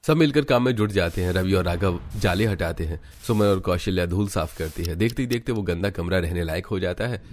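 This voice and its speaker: A man's voice: deep voice, fairly quick, hardly any pauses, darkish tone. A man narrating a scripted cartoon family story, with background music.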